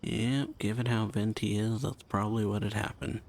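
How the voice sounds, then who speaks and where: A man's voice: on the low side, fairly even intonation, normal speed, vocal fry, a little mellow. A man giving casual reaction commentary, muttering short remarks and hums. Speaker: reaction video host. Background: game music.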